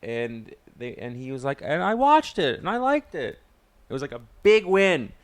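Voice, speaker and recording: A man's voice: fairly high pitch, very animated, moderate pace, no echo. A man co-hosting a podcast, chatting casually in a wry, terse way. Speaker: podcast co-host and touring comedian. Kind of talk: casual chat.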